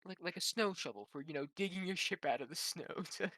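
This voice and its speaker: Player, man, higher voice, bright and bouncy delivery. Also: animated, fairly quick, dry recording. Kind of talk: casual tabletop game chat.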